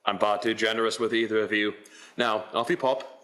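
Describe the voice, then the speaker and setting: A man's voice: lowish voice, level tone, fairly quick, background noise, close to an everyday voice. A man playing a shrewd, haggling Victorian pawnbroker in a radio play, wheedling and theatrical. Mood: sly haggling. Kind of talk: stage radio play acting.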